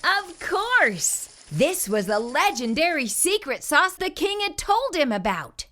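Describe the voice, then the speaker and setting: A woman reading a children's picture book aloud as a storyteller, in an animated way with theatrical emphasis. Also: high voice, takes it slowly.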